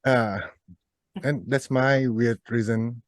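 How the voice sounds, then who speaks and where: A man's voice: mid-range voice, fairly even intonation, takes it slowly, no echo. Malaysian man in his thirties, casual. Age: 30s. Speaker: guitarist in a band, interview guest. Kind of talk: casual chat.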